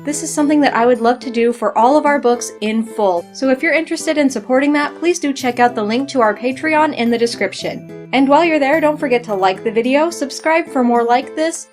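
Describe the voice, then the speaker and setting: A woman's voice: high-pitched, brisk, few pauses, soft background music. A woman working as a narrator, reading scripted material for an audio story production.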